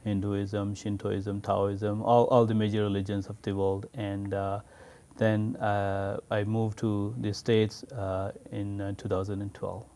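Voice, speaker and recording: A man's voice: lowish voice, flat delivery, a little slow, warm tone. A man from Pakistan, an imam patiently explaining his faith in an interview. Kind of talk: interview answers.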